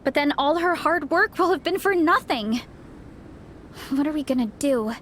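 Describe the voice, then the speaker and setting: A woman's voice: high-pitched, expressive, normal speed, a bit bright, slight background noise. An actress playing an earnest, caring young athlete who captains her team in a video game. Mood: worried, helpless. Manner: earnest, troubled, plain-spoken.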